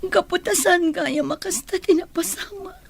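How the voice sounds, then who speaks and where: A woman with an Ilocano accent playing a gentle, guilt-ridden young woman, tearful and halting in a radio drama. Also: very high-pitched, gravelly, slight background noise. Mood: tearful, apologetic.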